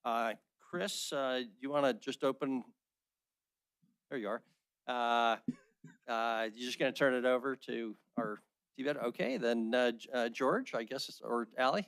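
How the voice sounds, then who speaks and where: A man's voice: mid-range voice, a little slow, fairly bright tone, smooth, no echo. A man chairing a city council work session, hesitant and full of fillers as he moves the agenda along. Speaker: mayor chairing the meeting. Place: council chamber.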